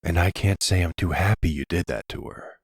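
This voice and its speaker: Casting: male actor for middle-aged silver fox mob boss, very low husky voice, wry and commanding, audio roleplay. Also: fairly quick, no echo, clean studio sound. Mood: scolding, threatening. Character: suave middle-aged mafia boss, menacing yet tender boyfriend. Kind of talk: ASMR boyfriend roleplay acting.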